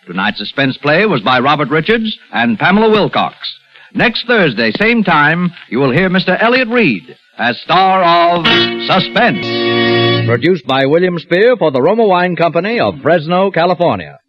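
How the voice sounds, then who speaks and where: A man's voice: on the high side, sing-song, normal speed. A man making formal, emphatic announcements for a radio drama. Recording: dull old radio broadcast with music.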